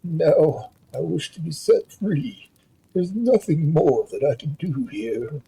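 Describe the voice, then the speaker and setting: Storybook stallion, played by a male reader: wise, loyal counsellor, very husky voice, measured and lively in pitch. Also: exaggerated voice, rough recording. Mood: reassuring. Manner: measured, instructing, reassuring. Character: wise, loyal talking stallion who counsels his master.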